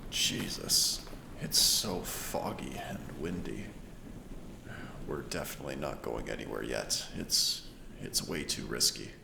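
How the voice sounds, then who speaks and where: A man's voice: very deep voice, expressive, measured pace, gravelly, background noise, heavily acted. A man playing an affectionate, cautious and playful boyfriend in an intimate audio roleplay, speaking in a tender, reassuring, conversational way. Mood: uneasy.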